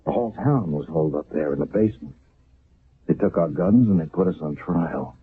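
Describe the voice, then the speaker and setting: A husky, weary army sergeant. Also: male voice, a bass voice, lively intonation, brisk, exaggerated voice. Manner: tense, urgent, reporting. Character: weary, earnest army sergeant back from battle. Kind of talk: 1970s radio drama acting. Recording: lo-fi old radio broadcast.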